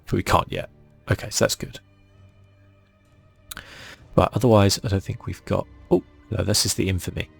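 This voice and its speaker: A man hosting a relaxed ASMR video game playthrough, speaking softly and musing aloud as he goes. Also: on the low side, normal speed, vocal fry, pauses often, breathy edge, faint music behind. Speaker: gaming channel host.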